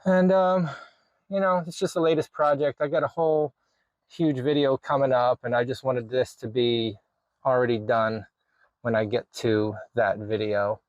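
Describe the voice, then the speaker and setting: A man's voice: medium pitch, fairly even intonation, normal speed, no room sound, highs cut off. Man casually talking through a DIY job, dry sound. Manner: informal, thinking aloud while working. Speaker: video creator showing a DIY radio install. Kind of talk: casual hands-on vlog narration.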